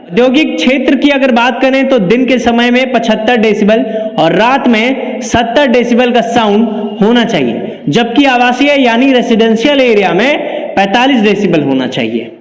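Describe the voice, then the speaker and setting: A man presenting a scripted news explainer, narrating and commenting to the viewer. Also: very high-pitched. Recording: echoey, reverberant room.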